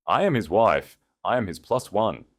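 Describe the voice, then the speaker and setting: A male narrator puts on a composed, aristocratic woman in a romance audiobook. She speaks with calm, modulated poise and quiet weight. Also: on the low side, moderate pace, pitched above the performer's own voice. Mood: cool resolve. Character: calm, dignified, quietly forceful wealthy wife.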